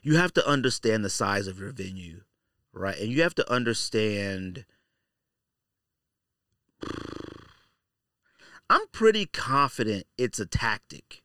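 A middle-aged man hosts a casual podcast with a bright, forceful voice and very lively pitch. He rants in slangy, opinionated bursts and hammers points by repeating them. The sound is dry and close. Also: slow, pauses often, no room sound. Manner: animated ranting, slangy, repeats points for emphasis. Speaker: podcast host.

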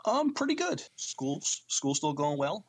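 An American man co-hosting a podcast, chatting casually with a halting, hesitant delivery. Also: on the high side, big pitch swings, slightly rough. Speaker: podcast co-host. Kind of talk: casual chat.

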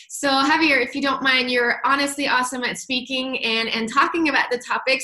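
Female interview host, enthusiastic, bright and slightly high voice, echoey room. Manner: enthusiastic, warm introductions. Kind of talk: interview hosting.

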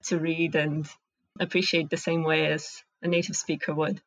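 A woman's voice: sits low, little pitch movement, normal speed, no echo, rough recording. Russian-accented woman, thoughtful and dry. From Latvia and Russia. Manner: thoughtful, searching for words. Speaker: interview guest, animation technical director. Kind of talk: casual interview conversation.

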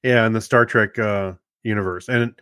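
A man's voice: on the low side, steady tone, moderate pace, a bit bright, smooth, no room sound, clean studio sound. A middle-aged man co-hosting a podcast, chatting in an agreeable, conversational way about films and TV shows. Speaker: podcast co-host.

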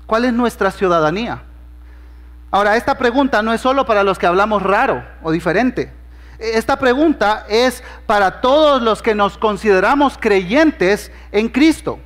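A man's voice: sits high, fairly bright tone, long reverb tail. A man with a Honduran Spanish accent preaching a sermon as pastor, conversational and humorous, acting out bits of dialogue in his stories. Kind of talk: sermon with storytelling.

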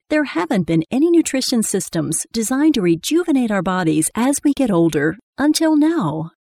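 A woman's voice: on the high side, mellow, no echo. A woman reading a scripted radio advertisement as an announcer.

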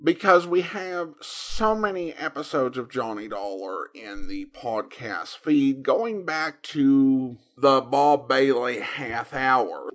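A man hosting a podcast, giving casual commentary on old radio shows in a halting, conversational way with emphatic swings. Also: mid-range voice, fairly bright tone. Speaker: podcast host.

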